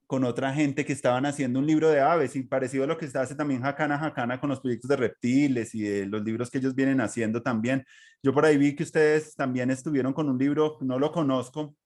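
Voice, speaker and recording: A man's voice: mid-range voice, darkish tone. Colombian man, casual podcast host thinking aloud. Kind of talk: casual conversational interview. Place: remote online call.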